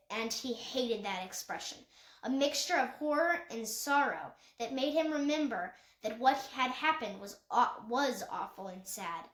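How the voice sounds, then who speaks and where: A woman reading a children's novel aloud as scripted narration, occasionally stumbling and correcting herself. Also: fairly high pitch. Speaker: audiobook reader. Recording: echoey untreated room.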